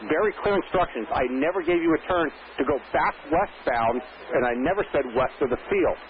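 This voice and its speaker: A man's voice: fairly high pitch, animated, normal speed. Man, air traffic controller, stern and insistent, tinny radio, faint hiss. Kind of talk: radio communication.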